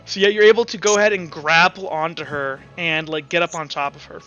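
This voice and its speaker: Bright, higher-voiced man running a game. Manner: casual, halting, uses filler words. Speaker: game master. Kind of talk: running a tabletop roleplaying game, improvised narration. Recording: dull lo-fi, music underneath. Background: background music.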